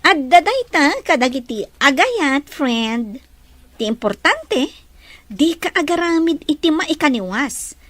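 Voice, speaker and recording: Expressive Ilocano woman, actress playing it; high, very lively pitch, dry recording. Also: measured pace, dry recording, exaggerated voice. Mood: advising. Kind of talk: audio drama acting.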